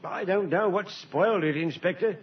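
An actor as a high-voiced, respectful police sergeant. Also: male voice, normal speed, far from the mic, slight background noise, natural voice. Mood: keen, respectful. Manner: polite, deferential reporting. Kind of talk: 1950s radio drama acting. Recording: muffled radio recording, off-mic.

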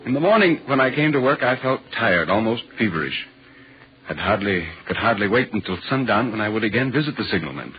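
An actor playing an earnest, concerned gentleman writer in a 1940s radio ghost drama, speaking with measured, deliberate literary phrasing. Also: on the low side, big pitch swings, a little hiss or hum. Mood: brooding. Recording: muffled, worn 1940s radio recording.

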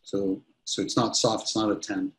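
Man in his 50s, CEO guest, a touch low-pitched, talks in loose, restarting sentences. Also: flat delivery, normal speed, vocal fry, fairly bright tone, rough recording. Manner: rambling, many restarts and fillers. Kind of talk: casual interview answers.

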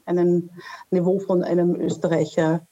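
A woman's voice: lowish voice, level tone, moderate pace, dark tone, lo-fi sound. A woman from Australia, a guest in a casual interview, talking hesitantly with many fillers and restarts.

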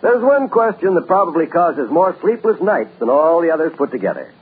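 A man announcing a vintage radio show and reading a savings-bond appeal in an emphatic, drawn-out way. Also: a little high, sing-song, husky. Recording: tinny old radio broadcast with music.

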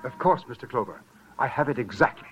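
An actor playing an earnest, self-important rich fiancé, polite and precise, in a 1940s-style radio drama. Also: male voice, medium pitch, expressive, fast talker, over loud music, natural voice. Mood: deferential. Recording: dull, faintly noisy old radio recording.

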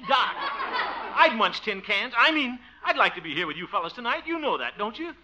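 An actor playing a blustery, apologetic bachelor who flusters and stammers easily, in 1950s radio sitcom style. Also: male voice, extremely high pitch, sing-song, a little fast, background noise. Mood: pleading. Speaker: lead actor of a radio comedy series. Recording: muffled old radio broadcast.

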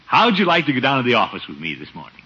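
An actor playing a pompous, put-upon middle-aged uncle in a 1950s radio comedy, blustering and muttering asides. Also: male voice, mid-range voice, huge pitch swings, racing, bright, forceful tone, slightly rough, heavily acted. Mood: coaxing. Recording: noisy, dull 1950s radio recording.

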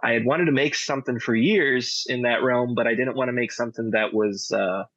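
A man's voice: medium pitch, brisk, fairly bright tone, no echo. Understated guy chatting in an interview, medium voice, dry kinda muffled audio. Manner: modest, understated. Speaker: audio drama director and sound designer. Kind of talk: casual interview chat. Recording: dry, dull lo-fi sound.

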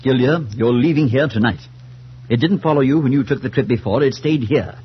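An actor playing a steady, concerned man who narrates a supernatural tale and acts in it, in earnest, firm 1940s radio style. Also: medium pitch, a little fast, slight background noise, close to an everyday voice. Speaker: narrator and friend. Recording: muffled 1940s radio recording.

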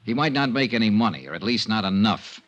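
An actor playing a tough, wry insurance investigator in a 1950s American radio drama, clipped and matter-of-fact. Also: male voice, fairly low pitch, animated, quick pace, a bit bright, dull audio. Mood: assured, reasoning. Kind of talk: 1950s radio detective acting. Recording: vintage 1950s radio broadcast.

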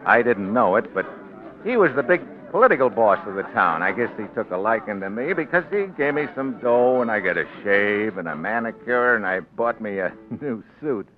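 A man's voice: on the low side, lively intonation, moderate pace, noisy background, natural voice. An actor playing a brash, stubborn tough guy on the rise in a 1940s radio drama, slangy, uneducated and defiant. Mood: boastful, reminiscing. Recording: dull 1940s radio recording.

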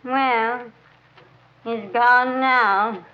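Slow, bitter, scolding radio wife. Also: female voice, a little high, fairly even intonation. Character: curt, bitter abandoned wife, weary and scolding. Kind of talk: 1940s radio comedy acting. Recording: muffled, very noisy 1940s radio recording.

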